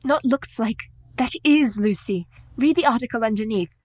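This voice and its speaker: A woman's voice: on the high side, moderate pace, close to an everyday voice. Poised, determined Victorian wife played by a woman. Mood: grave, certain. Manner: formal, composed, earnest. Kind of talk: radio play acting.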